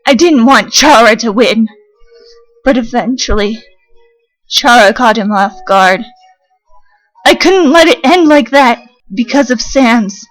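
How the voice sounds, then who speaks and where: Woman as earnest high-voiced child, slow and solemn. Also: rough recording. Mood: remorseful, determined. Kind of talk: fan comic dub dramatic monologue. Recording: music under the voice.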